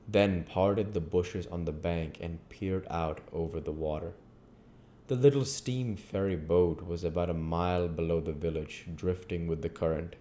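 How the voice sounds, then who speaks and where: Very deep, slow male audiobook reader. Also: mellow, a little hiss or hum. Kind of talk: scripted literary narration.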